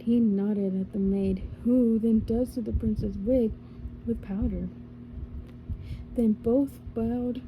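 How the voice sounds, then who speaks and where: A woman reading a storybook in a gentle ASMR style, with slow, deliberate phrasing. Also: a little high, rough recording. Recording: faint background noise.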